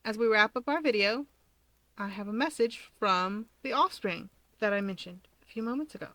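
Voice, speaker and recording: A woman narrates like a storyteller hosting her own channel, moving between expressive reading and informal chat. Her voice sits at a middle pitch and is recorded close and dry. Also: normal speed, no room sound. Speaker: fan fiction reader, channel host.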